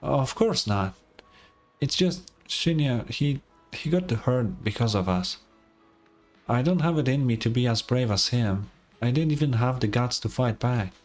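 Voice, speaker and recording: A man's voice: medium pitch, lively intonation, unhurried, a little mellow. A man acts out a shy, sickly boy in a story game. He is insecure and easily flustered, stumbling hesitantly over words, with music playing behind him. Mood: downcast, hesitant. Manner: hesitant, stammering.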